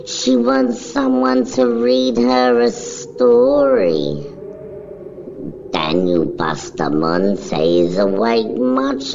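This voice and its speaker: A woman giving a solemn, measured, scripted dramatic narration of a story. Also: rumbling low, gravelly, noisy background. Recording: dull recording, music behind.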